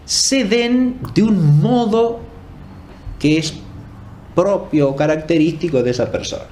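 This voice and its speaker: A man giving an unscripted academic lecture in a formal, didactic and deliberate way, rephrasing as he goes and often checking in with short tag questions. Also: high-pitched, darkish tone, slight background noise. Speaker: philosophy lecturer.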